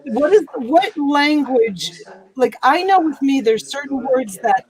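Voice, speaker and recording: A woman hosts a casual live chat with a fairly high voice. She talks in a loose, chatty way, full of fillers and restarts. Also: animated, measured pace, a little mellow, rough recording. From Italy. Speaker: livestream host.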